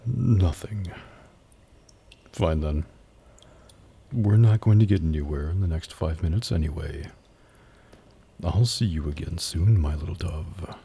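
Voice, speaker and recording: A man acting out a smug, flirty male demon who taunts a captive in a husky, teasing, menacing drawl for an ASMR roleplay. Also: low-pitched, dark tone, a little hiss or hum, exaggerated voice. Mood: coaxing, menacing. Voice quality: husky, breathy. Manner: slow, teasing, menacing drawl with dramatic pauses.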